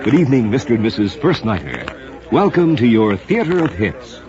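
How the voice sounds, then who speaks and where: A man's voice: mid-range voice, sing-song, brisk, heavy background noise. An American man announcing as a radio program host in a formal, polished, theatrical old-time style, reading from a script. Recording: dull old radio transcription, music behind. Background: music.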